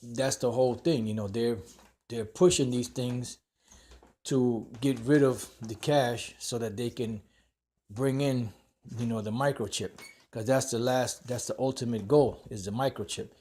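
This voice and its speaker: A man's voice: mid-range voice, a little slow, mellow. A man hosting a religious commentary, talking loosely with many fillers and a scornful edge. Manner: loose and meandering, heavy with "you know" and "uh"; scornful toward cash-free shoppers. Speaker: host of a religious commentary channel. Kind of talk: rambling religious commentary on a news article.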